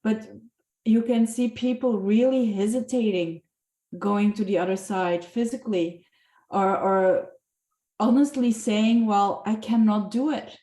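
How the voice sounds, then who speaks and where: A woman's voice: medium pitch, warm tone. Earnest woman in an echoey room. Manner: earnest, halting, with frequent restarts. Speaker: participant in a group discussion. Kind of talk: reflective group discussion. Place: video call.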